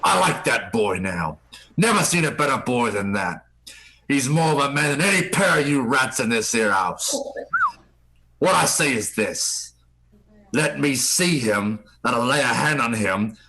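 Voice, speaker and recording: A man's voice: medium pitch, expressive, measured pace, bright, forceful tone, slight background noise, low-quality recording. A man playing a menacing old pirate ringleader in a comedic read, with taunting, theatrical commands. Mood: commanding, menacing. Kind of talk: live comedic table read.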